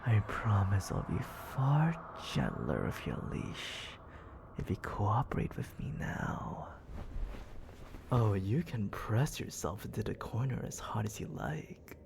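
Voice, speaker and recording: A man voicing a menacing, teasing demon lord in an ASMR roleplay, breathy and often dropping to a whisper, condescending and coaxing toward a captive. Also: lowish voice, very animated, background noise, full character voice. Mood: teasing, threatening. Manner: slow, taunting, condescending, coaxing.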